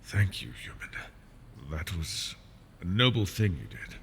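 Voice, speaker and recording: A man's voice: sits low, big pitch swings, measured pace, vocal fry, a bit bright, slight background noise, full character voice. A man voicing a proud, wounded male dragon in an ASMR fantasy roleplay, haughty and scornful while he is tired and in pain. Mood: relieved, grudging.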